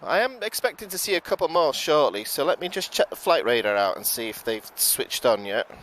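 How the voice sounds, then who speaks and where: A man with a British accent giving relaxed live commentary outdoors at an airport, chatting to viewers with frequent ums and self-corrections. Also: fairly high pitch, a little fast. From United Kingdom. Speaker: live plane-spotting commentator. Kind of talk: live-stream commentary, reading out viewer chat.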